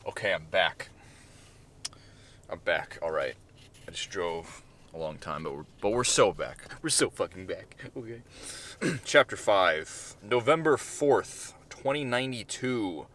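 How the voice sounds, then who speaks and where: A man's voice: deep voice, animated, unhurried, fairly bright tone, slight background noise. A man, the author, reading his own short story as informal narration with casual, profane asides.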